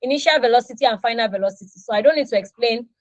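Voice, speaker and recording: A woman's voice: a little high, very fast, no echo. A Nigerian woman in her thirties, a native Yoruba speaker, teaching an online English class and playfully acting out example dialogues. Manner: animated, acts out little dialogues with students.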